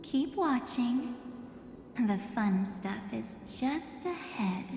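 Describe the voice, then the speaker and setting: A woman's voice: on the high side, lively intonation, very slow, gravelly, a little hiss or hum, heavily acted. A woman voicing a composed, enigmatic young woman in a video game, with a knowing, teasing manner. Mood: quietly amused. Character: composed, enigmatic young woman who knows hidden truths. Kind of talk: scripted video game voice acting. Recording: echoey, long reverb, loud music behind.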